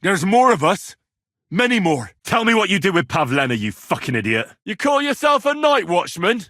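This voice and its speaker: An actor playing an earnest, hot-headed young squire in a medieval video game, with a plain-spoken, earthy way of talking. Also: male voice, high-pitched, big pitch swings, moderate pace, pushed and bright, no room sound, studio quality, heavily acted. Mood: angry, challenging. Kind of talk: video game character voice lines.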